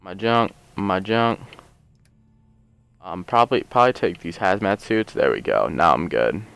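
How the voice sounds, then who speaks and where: Casting: relaxed male let's-player, slow and low, slightly hoarse, smooth close dry mic. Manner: rambling, jokey, thinks aloud. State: hoarse, losing his voice. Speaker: let's play host. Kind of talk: casual gameplay commentary.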